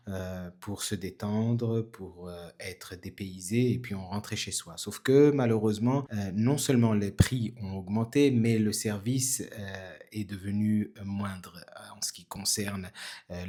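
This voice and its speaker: A man in his forties from Tunisia, chatting casually to viewers and pausing often with fillers. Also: fairly low pitch, dark tone, slightly rough. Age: 40s. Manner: chatty, many filler pauses. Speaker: channel host. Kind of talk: casual vlog commentary. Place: indoors in Tunisia.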